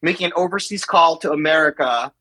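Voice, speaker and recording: A man in his forties from the Philippines, talking casually as an interview guest and often pausing to reflect. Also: on the high side, sharp tone, breathy edge, rough recording. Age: 40s. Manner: reflective, with many fillers and restarts.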